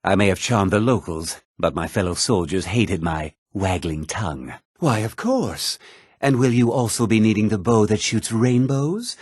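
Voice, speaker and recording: A self-important townsman from a fantasy video game. His husky voice swings widely in pitch and is recorded close and dry. He talks with theatrical, smug condescension. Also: expressive, normal speed, darkish tone, dry recording. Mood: teasing, wry. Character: haughty, self-important male townsman and merchant of a fantasy world. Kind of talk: video game character dialogue.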